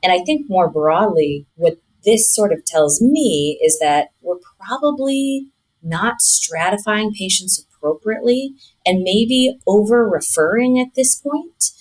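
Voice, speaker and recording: A woman speaking as a physician researcher in a podcast interview, explaining findings in a measured, articulate way with clinical terms. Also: medium pitch, animated, darkish tone, low-quality recording.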